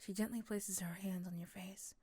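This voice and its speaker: A woman narrating a scripted ASMR romance in the second person, softly and often dropping to a whisper. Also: medium pitch, fairly even intonation, normal speed, husky, no room sound.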